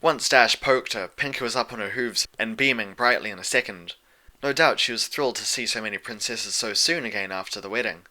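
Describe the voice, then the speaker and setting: A man's voice: lowish voice, fairly quick, sharp tone, low-quality recording. A man reading scripted fiction as an audiobook narrator.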